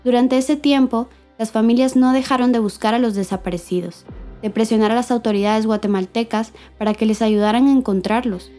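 A woman giving measured, scripted documentary narration as the host. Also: fairly high pitch, steady tone, warm tone, soft background music.

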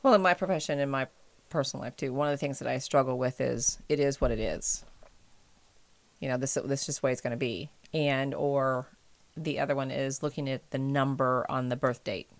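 Emphatic woman with a smooth voice. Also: very deep voice, fairly even intonation, a little fast, dry recording. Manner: emphatic, insistent. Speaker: podcast co-host. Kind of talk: casual chat.

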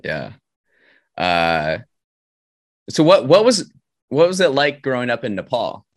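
A man's voice: medium pitch, huge pitch swings, slow, dry recording, studio quality. A man interviewing a guest, asking short follow-up questions in an encouraging tone. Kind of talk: casual interview.

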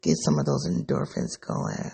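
Gravelly, raspy man musing sleepily. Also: extremely low pitch, expressive, moderate pace, a little mellow. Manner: musing, rambling, self-searching. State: sleepy, voice going. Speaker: vlogger. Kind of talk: personal reflective monologue.

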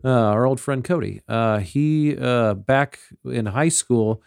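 A man tells a story among friends, stopping and restarting as he goes and chuckling to himself. His voice is mid-pitched and plain. The recording is dry and close. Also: creaky, a little mellow, clean tone, no echo. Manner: rambling, halting storyteller full of fillers, chuckling. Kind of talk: casual chat among friends.

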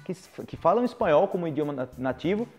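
A man's voice: fairly high pitch, lively intonation, fast talker, a little mellow, faint music behind. A young man with a Brazilian Portuguese accent, a language teacher giving direct, persuasive advice and repeating words for emphasis. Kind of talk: casual advice video monologue.

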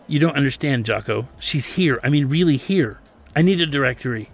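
A man's voice: medium pitch, lively intonation, brisk, gravelly, breathy edge, pitched above the performer's own voice. A man voicing a restless, impatient young man who talks urgently and insistently. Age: young adult.

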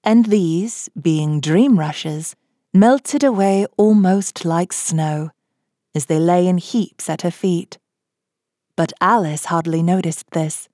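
A woman reading a children's classic aloud as gentle storybook narration. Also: on the low side, slow, dry recording, studio quality. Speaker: audiobook reader.

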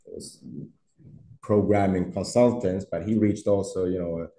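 Swedish guy, low mellow voice, lots of uh and restarts, echoey room. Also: fairly even intonation, measured pace. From Sweden. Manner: hesitant, many fillers and restarts, laughs easily. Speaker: startup CEO guest. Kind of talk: podcast interview conversation.

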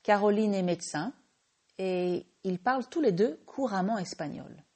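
A French woman who teaches French, hosting a slow, clear language-lesson podcast and patiently correcting her own small slips. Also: medium pitch, lively intonation, mellow. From France.

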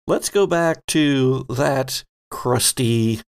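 A man's voice: medium pitch, extremely slow, smooth. A man narrating as host and game master of a horror tabletop game, in a theatrical, wry storytelling manner.

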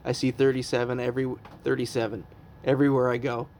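Man, early thirties, comedian, casual chat, rambling, self-mocking, middle pitch. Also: little pitch movement, fast talker, darkish tone, slight background noise. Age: 30s. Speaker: comedian podcast host.

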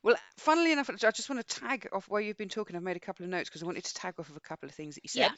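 A woman's voice: medium pitch, very animated, very fast, fairly bright tone, dry recording. A middle-aged woman co-hosting a podcast in casual, informal and blunt conversation. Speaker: podcast co-host. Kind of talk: casual chat.

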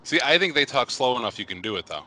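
Animated, bright-voiced man. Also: medium pitch, fast talker, rough recording. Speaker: podcast co-host. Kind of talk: casual banter.

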